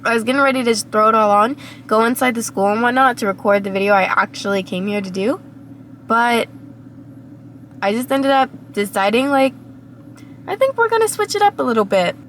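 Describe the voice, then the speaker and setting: A young woman with a cold-hoarse, raspy voice, rambling reflectively to her camera while sitting in her car. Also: fairly high pitch, brisk, fairly bright tone, slight background noise. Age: young adult. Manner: rambling, reflective, many fillers. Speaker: vlogger. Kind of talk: casual vlog monologue. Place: inside a parked car.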